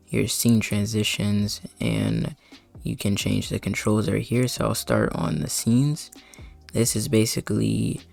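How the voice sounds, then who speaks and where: A man talking through a software setup in a loose, unscripted way at his computer mic, with frequent fillers and sentences that trail off. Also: lowish voice, creaky. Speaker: streamer giving a tutorial. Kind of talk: casual screen-recording walkthrough. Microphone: desktop mic at a computer.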